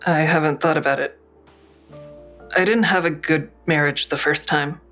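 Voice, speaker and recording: A woman's voice: low voice, fairly even intonation, moderate pace, fairly bright tone, faint music behind. A woman playing a guarded, cynical middle-aged daughter in an audio drama, dry and terse. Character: guarded, cynical adult daughter who narrates. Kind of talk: naturalistic audio drama acting with narrated asides.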